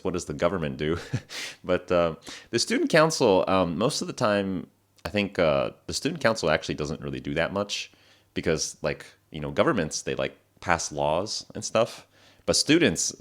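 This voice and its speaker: A young man with an extremely deep voice, lower than usual because it is morning, talks through a casual podcast episode for English learners. He rambles easily, filling gaps with "uh" and "like" and correcting himself as he goes. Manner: relaxed, rambling, many fillers and self-corrections. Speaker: podcast host.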